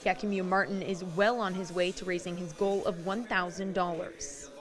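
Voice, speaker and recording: A woman's voice: on the low side, fairly even intonation, moderate pace. A woman narrating a scripted television news story as a reporter.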